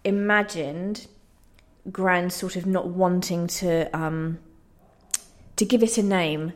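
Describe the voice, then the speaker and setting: A woman with a slightly low voice talks as a playwright in a radio interview. She is earnest and reflective, explaining things in a conversational way. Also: fairly even intonation, slow.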